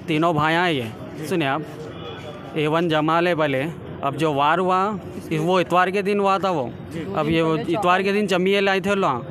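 A young man with a Hyderabadi accent speaks in a high voice, giving an eyewitness-style statement. He is insistent and circles back over his words, with crowd bustle around the microphones. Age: young adult. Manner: insistent, repetitive, often urging listeners to hear them out. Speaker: injured attack victim giving a statement. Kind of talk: spontaneous interview account to reporters. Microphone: handheld reporters' microphones held close. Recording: street-interview sound with crowd bustle.

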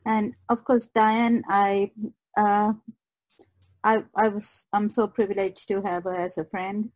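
Female podcast guest, unhurried and hesitant, conversational, close dry sound. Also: mid-range voice, level tone, a little mellow, no echo, low-quality recording. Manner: halting, searching for words. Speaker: guest artist. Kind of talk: casual podcast chat.

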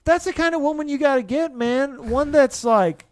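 A man's voice: very high voice, moderate pace, no room sound. A man in his thirties hosting a podcast with casual banter, close on a studio microphone. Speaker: podcast host. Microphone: close studio microphone on boom arm.

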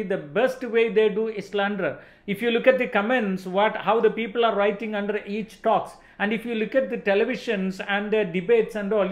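A man's voice: high-pitched, echoey room. A man in his forties with an Indian accent preaching emphatically in a motivational religious talk, repeating phrases for stress. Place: indoor studio set.

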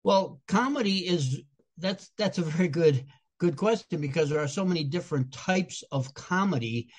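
A man's voice: a little high, expressive, normal speed. An older man from Chicago with a Chicago accent, answering interview questions in an earnest, explanatory way, sometimes restarting his phrases. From Chicago, USA. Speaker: guest speaker and comedian.

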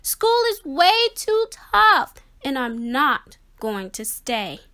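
A woman's voice: sits high, big pitch swings, takes it slowly, sharp tone, heavily acted. A woman voicing a discouraged young schoolboy fish in a storybook, with plaintive, emphatic complaints. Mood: exasperated.

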